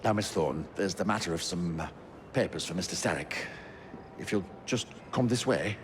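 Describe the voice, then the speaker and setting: An actor playing a gruff but deferential henchman foreman in a video game. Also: sits low, expressive, normal speed, long reverb tail, slight background noise. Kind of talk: video game cutscene acting.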